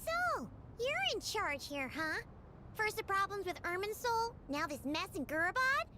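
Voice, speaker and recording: A woman voicing a tiny, excitable, bossy fairy companion with a squeaky, childlike voice in a video game. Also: measured pace, slight background noise, full character voice. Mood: indignant. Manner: exclamatory, dramatic, refers to self by name. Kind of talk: animated video game voice acting. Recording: game music under the voice.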